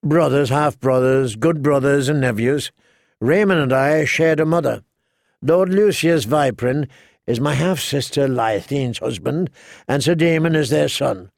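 A man acts out a gracious nobleman in a fantasy audiobook. He is courteous and deferential, with gravelly creak, in a very dry recording. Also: medium pitch, unhurried, no echo. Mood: welcoming. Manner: polite, smooth-mannered, deferential. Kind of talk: audiobook character dialogue.

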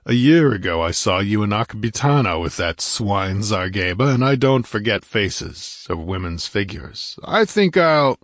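A man voicing a massive barbarian warrior who speaks with rumbling scorn and blunt demands. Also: very animated, a little slow, few pauses, no room sound, studio quality. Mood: scornful. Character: massive gruff barbarian warrior, angry and contemptuous.

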